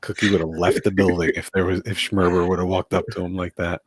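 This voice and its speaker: A man's voice: deep voice, little pitch movement, fast, slightly rough, no room sound. A man hosting a casual podcast chat from New Jersey, joking and rambling with lots of fillers. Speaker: host.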